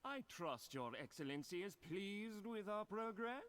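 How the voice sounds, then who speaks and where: An obsequious craftsman in an adventure game. His voice is high and swoops about as he defers and hedges. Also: animated, a little slow, fairly bright tone, clear, resonant tone. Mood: deferential. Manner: deferential, hedging. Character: obsequious craftsman, eager to please, evasive. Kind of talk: game audio acting.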